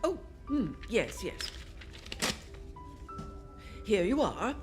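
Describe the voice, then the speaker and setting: A woman's voice: medium pitch, very animated, very slow, faint music behind. A woman playing a flustered, secretive department store executive in an old-time radio drama, hesitant and repeating words. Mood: guarded, uneasy.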